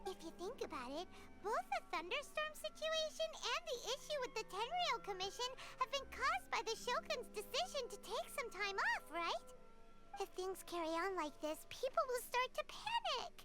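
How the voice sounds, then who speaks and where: A woman voicing a tiny, childlike fairy companion in a video game, excitable, talkative and squeaky. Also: moderate pace. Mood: puzzled, concerned. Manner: squeaky, refers to herself by name. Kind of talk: animated game dialogue. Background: game music.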